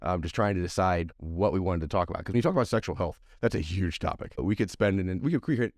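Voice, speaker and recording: A very deep-voiced man hosting a podcast, his voice hoarse and gravelly from allergies. He talks in a chatty, upbeat, rambling way. The sound is dry and close, with no room behind him. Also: animated, fast, darkish tone, no room sound. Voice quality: gravelly, scratchy. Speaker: podcast host.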